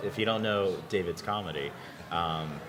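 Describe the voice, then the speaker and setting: A man's voice: low voice, moderate pace, a crowd in the background. A man hosting a podcast, asking questions and giving brief encouraging replies. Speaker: podcast host. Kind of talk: casual interview chat.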